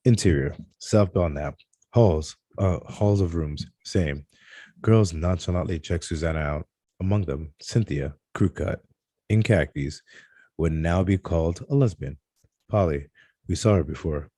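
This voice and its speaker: A man reads out screenplay stage directions as narrator. His voice is deep and mellow, with a dry, close sound. The delivery is halting, tripping on the odd word. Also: dry recording. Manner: halting read-aloud with stumbles over words. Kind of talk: scripted narration at a table read.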